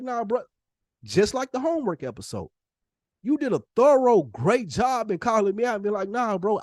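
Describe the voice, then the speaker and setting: American male, higher-pitched voice, close dry sound, casual podcast chat, slangy, emphatic, slipping into imagined dialogue. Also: no echo. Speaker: podcast co-host. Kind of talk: casual conversational podcast talk.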